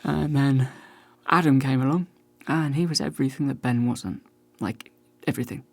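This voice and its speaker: A man's voice: mid-range voice, moderate pace, gravelly, darkish tone, no echo. Radio play: male actor as self-deprecating man turning forty, awkward and talkative, naturalistic delivery, very dry sound. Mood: wistful. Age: about 40. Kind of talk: naturalistic radio drama acting.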